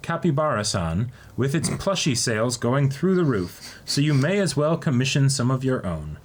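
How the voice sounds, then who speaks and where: A man's voice: medium pitch, big pitch swings, normal speed. Casual man over noticeable background noise. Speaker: co-host of an unboxing video. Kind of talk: casual banter, some reading aloud from a letter. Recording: noisy room, background ambience.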